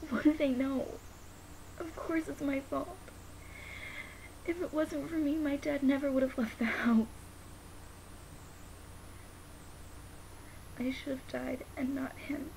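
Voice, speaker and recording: A young woman playing a grieving, guilt-ridden daughter in a dramatic monologue, halting and sometimes nearly whispering. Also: high voice, steady tone, creaky, a little mellow, rough recording. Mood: anguished self-blame. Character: grieving, guilt-ridden daughter who lost her father. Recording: noticeable background hiss.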